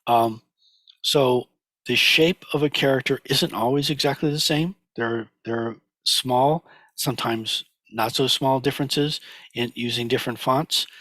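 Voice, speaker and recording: Slightly hoarse man lecturing over slides. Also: medium pitch, fairly even intonation, sharp tone, no echo. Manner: halting, many fillers and restarts. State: recovering from a cold, slightly hoarse. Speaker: teacher of a sutra class.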